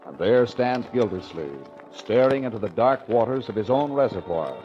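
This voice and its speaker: A man's voice: fairly low pitch, expressive, moderate pace, dark tone. A man narrating as a 1940s radio announcer, with a melodramatic, mock-solemn delivery. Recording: muffled 1940s radio recording. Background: music.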